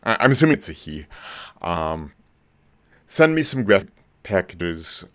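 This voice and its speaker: A man in his forties hosting a casual live stream about movies, talking in a rambling way with lots of ums and restarts, his voice a bit lower than usual. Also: sing-song, unhurried, fairly bright tone. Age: 40s. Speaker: movie channel host.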